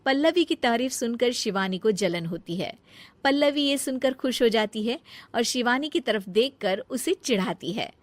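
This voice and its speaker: A woman's voice: a little high, lively intonation, normal speed, dry recording. A woman giving scripted narration for a cartoon family story.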